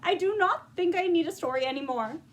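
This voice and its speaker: A gentle, curious storybook frog voiced by a woman, pitched very high. He sounds innocent and friendly, with questions that swoop upward. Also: lively intonation, a little fast. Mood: cheerful.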